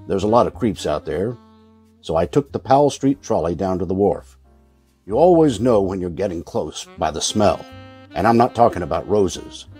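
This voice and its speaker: A man's voice: low voice, warm tone. A man playing a wry, world-weary private eye, narrating dryly in an old-time radio noir style. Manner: dry, sardonic. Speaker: lead actor and narrator.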